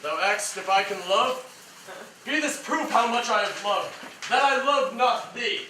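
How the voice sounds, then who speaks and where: An actor playing a grandiose, lovelorn legendary seducer, declaiming verse in a lofty theatrical manner on stage. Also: male voice, extremely high pitch, expressive, measured pace, sharp tone, full character voice. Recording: distant mic, echoey room, clear hiss.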